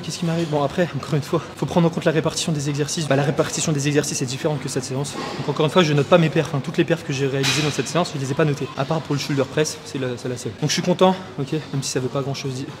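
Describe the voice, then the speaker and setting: Fast-talking young man in a noisy gym. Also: fairly high pitch. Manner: slangy, chatty, fast, with frequent filler tags. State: winded between sets. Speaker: fitness vlogger. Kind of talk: casual gym vlog talking to camera.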